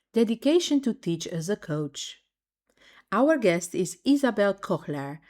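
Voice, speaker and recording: Woman podcast host, measured and formal, lowish voice, reads intro then interviews. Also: a little mellow.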